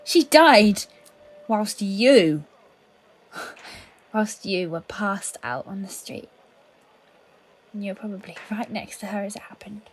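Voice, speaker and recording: Female police colleague with a secret played by an actress: resentful, guilt-laden, halting delivery, mid-range voice, audio play with light noise. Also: medium pitch, lively intonation, rough recording. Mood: accusing, anguished. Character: guilt-ridden, vengeful female police colleague, hiding a crime. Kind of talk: audio drama acting.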